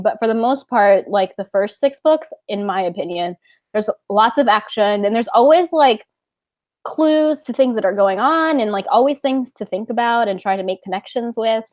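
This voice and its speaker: A woman co-hosting a casual book club livestream, relaxed and chatty, with lots of "like" in her talk. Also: fairly high pitch. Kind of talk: casual chat.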